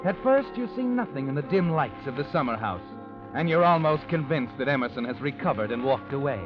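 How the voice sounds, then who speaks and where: A man's voice: mid-range voice, expressive, a little fast, natural voice. Guy doing creepy all-knowing 40s mystery narrator, mocking, dull old radio sound with music under it. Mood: ominous, taunting. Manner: ominous, mocking, dramatic, addresses the character. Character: sinister all-knowing male narrator taunting the guilty. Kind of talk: 1940s radio noir narration. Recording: muffled old radio recording. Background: dramatic music.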